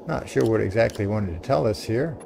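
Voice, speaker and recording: A man's voice: fairly low pitch, lively intonation, a little fast, gravelly, heavy background noise. A man hosting a game walkthrough with casual commentary. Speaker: gaming video host. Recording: loud game ambience behind voice.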